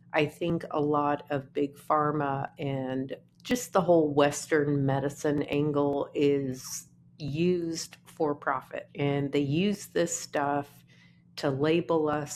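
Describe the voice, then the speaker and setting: A woman, musing unhurriedly in a casual vlog from her apartment. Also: a little hiss or hum. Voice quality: naturally deep voice. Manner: unhurried, musing, trailing off between phrases. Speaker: artist vlogger. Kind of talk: casual talking-to-camera vlog.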